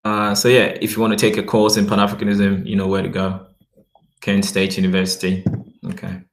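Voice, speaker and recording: A man talking casually to his viewers, rambling and often checking in with "okay" while reading web pages aloud slowly. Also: deep voice, monotone, a little hiss or hum. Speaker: vlogger. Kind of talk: casual talk to camera.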